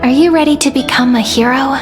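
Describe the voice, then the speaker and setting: An actress playing a gentle, earnest, affectionate young companion in a video game, speaking encouragingly. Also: female voice, high-pitched, brisk, music in the background, natural voice. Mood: tender, hopeful. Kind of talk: scripted video game dialogue.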